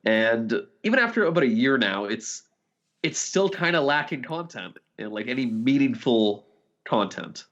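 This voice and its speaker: A man chats casually as a podcast co-host, with a lively, swinging pitch and frequent use of "like". The recording is slightly dull and lo-fi. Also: normal speed. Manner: frequent "like" as a filler. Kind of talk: casual chat about video games.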